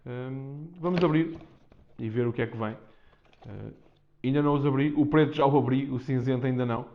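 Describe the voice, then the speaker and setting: A man's voice: medium pitch, brisk. A man with a European Portuguese accent talks casually and unscripted to viewers as he presents a product, improvising loosely and repeating himself. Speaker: vlogger presenting a product unboxing. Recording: dull, muffled lo-fi sound.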